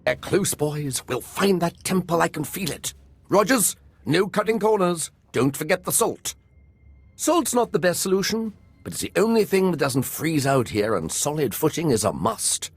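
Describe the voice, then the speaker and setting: An actor playing an eccentric, eager military archaeologist in a video game, musing with enthusiasm. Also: male voice, a little high, very animated, moderate pace, breathy edge, no room sound, full character voice. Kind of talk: game voice acting.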